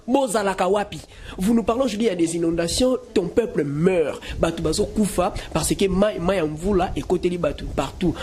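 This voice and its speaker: A man's voice: high voice, darkish tone, slight background noise. A man from Kinshasa giving emphatic answers in an interview, often firing indignant questions. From Kinshasa, Congo. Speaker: guest. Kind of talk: interview in mixed French and Lingala.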